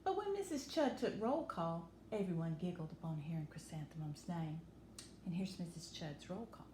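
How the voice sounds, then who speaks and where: Animated woman reading to children, roomy echo. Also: on the low side, normal speed. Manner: animated, instructive, dwells on key words for emphasis. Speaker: storytime reader and teacher. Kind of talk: expressive picture-book read-aloud for children. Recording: roomy echo with faint background noise.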